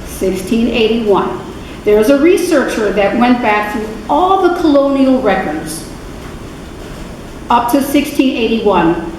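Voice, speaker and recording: A woman giving an informal history talk to an adult church class, unhurried, with many fillers and self-corrections. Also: a little high, lively intonation, darkish tone. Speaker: guest speaker at adult faith class. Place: church meeting room. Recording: very echoey, distant, noisy room recording.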